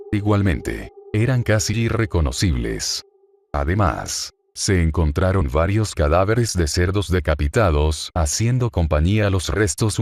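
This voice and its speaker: A man with a very low voice delivers scripted horror narration in the style of a crime report. His delivery is grave, measured and formal. Clear noise and ambience sit behind the voice.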